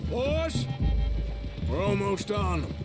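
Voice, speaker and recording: An actor playing a commanding, sardonic American outlaw gunslinger in an Old West video game. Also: male voice, high-pitched, very animated, slow pace, heavily acted. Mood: firm, commanding. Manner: dry, sardonic, authoritative. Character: commanding male outlaw gunslinger, dry and needling. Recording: loud music behind the voice. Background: loud music.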